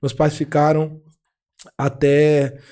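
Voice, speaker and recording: A man's voice: fairly high pitch, completely flat delivery, takes it slowly, mellow. A man in his thirties with a Brazilian accent, a pastor guesting on a podcast and casually telling his personal story. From born in Argentina to Brazilian parents. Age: 30s.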